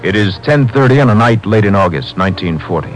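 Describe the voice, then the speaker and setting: Male storyteller, low register, scripted 1950s radio crime narration on an old broadcast recording. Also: animated, fast talker, over loud music.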